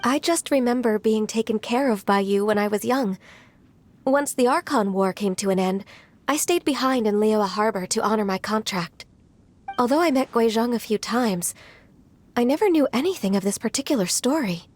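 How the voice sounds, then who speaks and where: An actress voicing a gentle, courteous immortal woman in a video game, speaking in an earnest, measured and polite way. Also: on the high side, lightly acted. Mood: reminiscent. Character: gentle, courteous, long-lived young-sounding adeptus woman. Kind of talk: scripted game dialogue.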